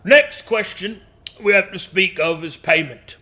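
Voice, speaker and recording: A man plays a gruff male demon poisoner who rambles on in a folksy, old-fashioned way. His voice sits a little high and sounds bright and sharp. He chats like a cheerful tradesman. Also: a little slow, full character voice. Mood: bargaining. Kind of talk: tabletop roleplay in character.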